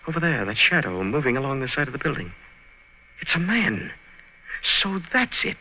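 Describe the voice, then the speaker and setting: A man's voice: mid-range voice, very animated, moderate pace. An actor playing an agitated, secretive young man speaking urgently in a vintage radio drama. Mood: startled, urgent. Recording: muffled, noisy vintage radio recording.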